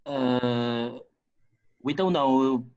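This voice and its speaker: A man speaking tentatively and haltingly as a participant in an informal work conference call. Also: medium pitch, a little mellow, clear, resonant tone. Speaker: meeting participant. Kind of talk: informal work meeting talk. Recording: slightly dull conference call audio.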